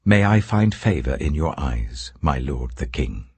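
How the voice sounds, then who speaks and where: A man's voice: a bass voice, very animated, unhurried, darkish tone, breathy edge, dry recording, full character voice. A man playing a humble, deferential steward addressing his king in an audiobook of scripture. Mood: humble, obliging.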